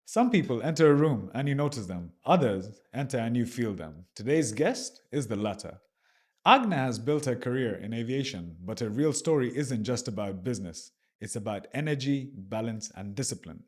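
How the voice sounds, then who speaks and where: A man's voice: mid-range voice, sing-song, moderate pace. A man hosting a podcast interview, reading a scripted intro and then asking casual questions with fillers. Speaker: podcast host.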